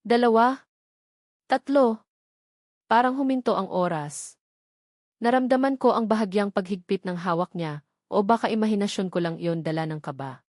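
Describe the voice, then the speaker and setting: A woman's voice: medium pitch, moderate pace, pauses often, darkish tone, no echo. Woman narrating romantic drama, dry studio sound. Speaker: story narrator. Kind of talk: scripted first-person romantic drama narration.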